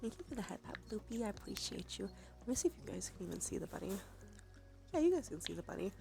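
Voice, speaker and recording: A woman hosting a casual ASMR livestream, chatting softly and narrating what she does, with a breathy voice that often slips into a whisper. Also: medium pitch, big pitch swings, quick pace. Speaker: livestream host. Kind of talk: casual ASMR stream chat. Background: background music.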